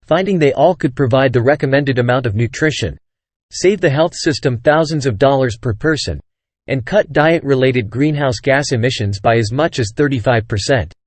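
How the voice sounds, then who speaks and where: A man's voice: medium pitch, moderate pace, no room sound. A man reading a scripted exam practice passage aloud.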